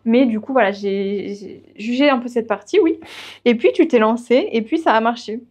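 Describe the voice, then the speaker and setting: A young woman with a fairly high voice talks as a podcast guest. She tells her own story in a relaxed, conversational style, as in an informal chat. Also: moderate pace. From of Portuguese family background. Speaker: podcast guest, freelance web writer.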